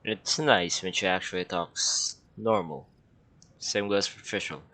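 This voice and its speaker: A man's voice: deep voice, steady tone, slow, pushed and bright, slight background noise. A man casually commenting while playing a video game, with offhand quips and the odd swear. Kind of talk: casual let's-play commentary.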